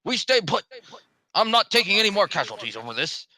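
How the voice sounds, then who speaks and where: A man acting a gruff, bullying police captain in a screenplay table read, curt and commanding. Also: high-pitched, sing-song, a little fast, pushed and bright, exaggerated voice, poor audio. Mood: stern, irritated.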